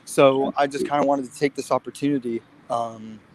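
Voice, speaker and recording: A man's voice: mid-range voice, steady tone, moderate pace, a little mellow. Man in his twenties, noisy recording. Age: late 20s. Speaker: fitness guest. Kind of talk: casual livestream conversation.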